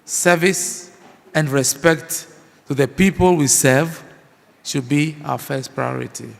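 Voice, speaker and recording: A man with a Ghanaian accent gives a formal address in a measured, deliberate way. His voice is gravelly and sits somewhat high. It rings with a long reverb from a large hall. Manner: measured, formal delivery with pauses. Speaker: guest speaker.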